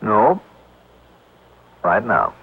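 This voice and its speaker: An American actor playing a wry, shrewd, breezy insurance investigator in a 1950s radio drama. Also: male voice, lowish voice, huge pitch swings, extremely slow, gravelly, slightly rough, phone-quality sound, heavily acted. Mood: shrewd, probing. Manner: breezy, hard-boiled, terse. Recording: old band-limited radio broadcast recording.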